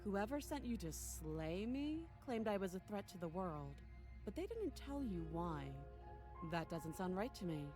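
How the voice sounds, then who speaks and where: A woman playing a captive princess in a visual novel, poised, persuasive and probing, with measured, challenging delivery. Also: medium pitch, sing-song, over music. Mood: reasoning, persuasive. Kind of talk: dramatic visual novel voice acting.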